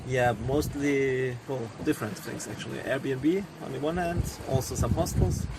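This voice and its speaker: A young man from Austria, his first tongue, talks out on the deck of a yacht at sea, pausing and restarting as he searches for words. His voice has a gravelly rasp at mid pitch. Loud wind and engine noise run under everything. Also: steady tone. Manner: thoughtful, hesitant, many fillers and restarts. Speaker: crew member on a sailing yacht. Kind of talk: casual interview chat.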